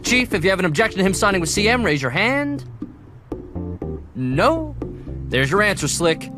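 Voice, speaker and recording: A man's voice: high-pitched, sing-song, normal speed, music in the background. An actor playing a slick, condescending male corporate sponsor in a video game, talking like a bullying salesman. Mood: pushy, domineering. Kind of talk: video game cutscene acting.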